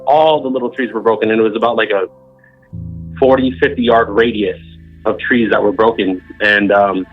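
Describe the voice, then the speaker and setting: A man's voice: on the low side, steady tone, normal speed. A man in his thirties from Southern California, calling in to tell a story in a laid-back, rambling way. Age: 30s. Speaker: caller. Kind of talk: casual phone-in storytelling. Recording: muffled phone line with faint music.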